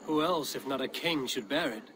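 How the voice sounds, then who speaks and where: A self-assured Viking warrior played by a man, dry and blunt, speaking at an ordinary male pitch. It is video game drama delivery, with clear ambient noise behind the voice. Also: moderate pace, close to an everyday voice. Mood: steady, frank. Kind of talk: video game cutscene acting.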